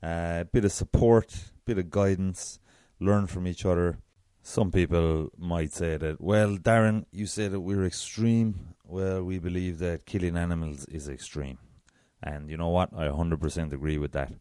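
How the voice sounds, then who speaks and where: Irish guy w a super deep dark voice, chatty podcast host, dry close mic. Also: fairly even intonation, normal speed, smooth, dry recording. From south of Ireland. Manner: chatty, self-deprecating, frequent restarts. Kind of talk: solo podcast monologue.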